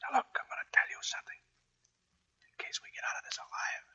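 A man acting in a 1940s radio drama as a tough, sardonic Navy chief, with a gruff way of talking. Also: quick pace, bright, forceful tone, whispered. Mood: hushed urgent. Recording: muffled 1940s radio recording.

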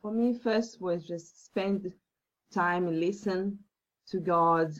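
A woman whose first language is Portuguese, a guest talking earnestly about faith with halting phrasing and many fillers. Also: on the low side, dull audio. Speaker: podcast guest. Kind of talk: faith-based interview talk.

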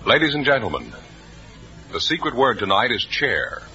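A man's voice: mid-range voice, huge pitch swings, moderate pace, bright, forceful tone, faint music behind. A man announcing a vintage radio show in a grand, emphatic manner. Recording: dull vintage radio broadcast.

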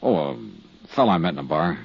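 Wry 1950s radio private eye, animated. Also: male voice, rumbling low, fast talker, slightly rough, dry recording, heavily acted. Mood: casual, evasive. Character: wry, hard-boiled male insurance investigator. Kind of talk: 1950s radio detective drama, first-person narration. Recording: muffled 1950s radio recording with music.

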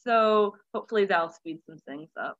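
A woman's voice: medium pitch, lively intonation, moderate pace, muffled recording. A woman in her twenties, a children's pastor, chatting candidly and informally as an interview guest. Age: 20s. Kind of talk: casual interview chat.

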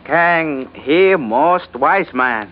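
An actor playing a guarded Chinese shopkeeper with a put-on Chinese accent, speaking terse, halting pidgin in a 1940s radio drama. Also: male voice, a little high, animated, slight background noise. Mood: quietly confiding. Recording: muffled vintage radio recording.

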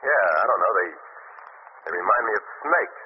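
A man announcing and acting in 1940s radio drama style, dramatic and often shouting. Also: lowish voice, expressive, normal speed, close to an everyday voice. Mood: uneasy. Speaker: announcer and actor. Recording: muffled old radio broadcast with hiss.

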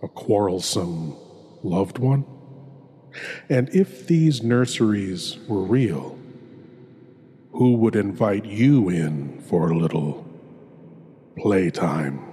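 A man performing a wry, sinister horror host in a theatrical, deliberate monologue. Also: low voice, animated, dark tone, a little hiss or hum. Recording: long reverb with music behind.

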